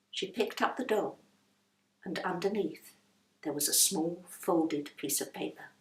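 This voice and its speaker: A woman reading her own novel aloud as expressive storybook narration. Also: on the low side. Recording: echoey room, distant microphone.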